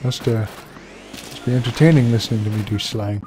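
A man's voice: mid-range voice, brisk, noisy background. A young man with a relaxing voice commenting casually on a game, swearing freely and poking fun at himself. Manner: relaxed, profane, self-deprecating rambling. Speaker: let's play host.

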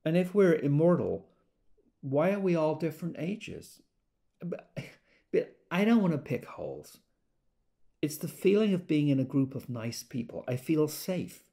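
Audio drama: gentle self-help devotee, hesitant and earnest, animated pitch, creaky voice. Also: male voice, unhurried. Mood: hesitant, calm.

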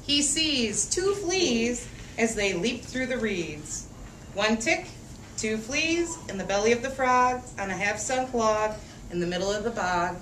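A woman's voice: a little high, animated, fairly bright tone. Woman reading a storybook aloud, roomy sound. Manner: sing-song, emphatic, drawn-out rhyming phrases with questions to listeners. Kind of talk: animated picture-book read-aloud with call-and-response. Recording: roomy, distant mic, faint background noise.

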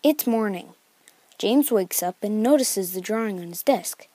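A woman's voice: medium pitch, lively intonation, measured pace, warm tone, no echo. A woman reading scripted narration for a homemade book report. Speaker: narrator of a novel study video.

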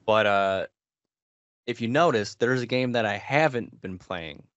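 A man's voice: on the low side, measured pace, a bit bright, dry recording. A man chatting casually on a gaming podcast, wry and resigned. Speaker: podcast host. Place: small bedroom, indoors. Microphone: headset on a video call. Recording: slightly dull, lo-fi online call.

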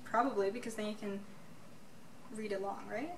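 A woman's voice: medium pitch, fairly even intonation, normal speed. A young Dutch-accented woman coaches a friend through phrases, giggly and encouraging. Her voice sits mid-range. The sound is echoey and picked up from afar. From Netherlands. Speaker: guest teacher. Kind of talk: casual chat. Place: bright indoor lobby, seated on a couch. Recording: roomy distant mic, faint hum.

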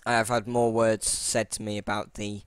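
A man's voice: on the low side, level tone, normal speed, clean tone, no room sound. A man casually talking through a computer tutorial while recording his screen, rambling with many fillers and restarts, and apologizing for his boring voice. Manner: self-deprecating. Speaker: tutorial channel host.

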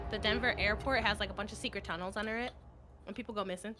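A woman gaming with friends on a call, lively and teasing. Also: medium pitch, quick pace, music in the background. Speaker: guest gamer on call. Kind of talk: casual chat.

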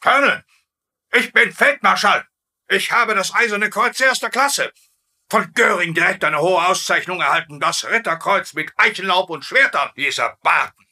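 Sharp, pompous captured field marshal. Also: male voice, high-pitched, normal speed, heavily acted. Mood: indignant, boastful. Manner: haughty, commanding, clipped. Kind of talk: dubbed TV sitcom acting.

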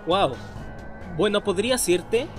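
A man playing an exasperated, very animated young champion. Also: sits high, slow pace. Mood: weary, disbelieving. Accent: Latin American Spanish. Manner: sarcastic, exasperated. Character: jaded retired male Pokémon champion, sarcastic, easily exasperated. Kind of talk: parody cartoon fandub. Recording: background music under voice.

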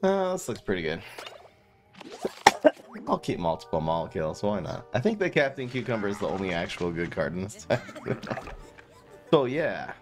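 A man's voice: on the low side, big pitch swings, normal speed, long pauses, over music. Chatty man streaming a card game. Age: 30s. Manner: chatty, self-mocking, reads chat aloud. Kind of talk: casual gameplay commentary. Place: small room indoors.

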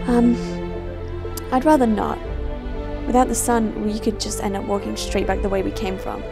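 A woman's voice: mid-range voice, fairly even intonation, moderate pace, creaky, close to an everyday voice. An actress playing a tired, hesitant young woman travelling companion in an audio drama. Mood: practical, subdued. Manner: hesitant, sheepish. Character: tired, hungry young female travel companion, shy but practical. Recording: music bed under the voice. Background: soundtrack music.

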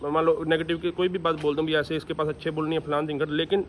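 Firm, emphatic farmer with raised pitch. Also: male voice, flat delivery, fast talker, slight background noise. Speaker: bull owner and dairy farmer. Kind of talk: casual interview answer.

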